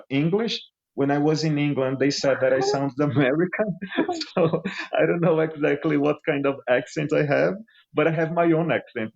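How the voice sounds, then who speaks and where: A man's voice: on the high side, darkish tone, highs cut off. A man in his late thirties from Brazil, an English teacher hosting a podcast chat in English with a Brazilian accent. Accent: Brazilian Portuguese. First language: Portuguese. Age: 30s.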